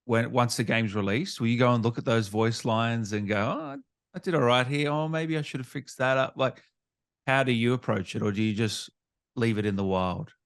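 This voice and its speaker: A man works as an interviewer on a gaming show. His delivery is casual and conversational, as in an informal chat with a guest. His voice sits at a middle pitch.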